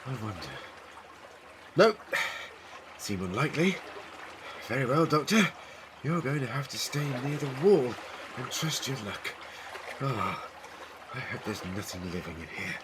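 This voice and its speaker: Man acting an animated eccentric adventurer, noisy backdrop. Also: mid-range voice, a little slow, pauses often. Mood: wry, anxious. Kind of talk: fan audio drama acting.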